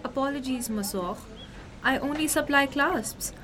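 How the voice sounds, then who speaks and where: Young woman acting high-voiced, polite shopkeeper. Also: unhurried, fairly bright tone. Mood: polite, businesslike. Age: young adult. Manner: polite, matter-of-fact. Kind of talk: amateur student radio drama.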